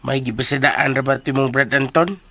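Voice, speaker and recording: A man acting in a radio drama as a chatty, comic household helper who talks in an animated, colloquial way. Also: medium pitch, moderate pace, vocal fry, no echo. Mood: gossipy.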